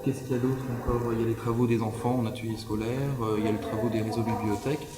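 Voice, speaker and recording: A man's voice: on the low side, monotone, very fast. A man casually and hesitantly explaining an exhibition setup as one of its organizers, in a large indoor space. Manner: informal, hesitant. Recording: echoey hall with long reverb.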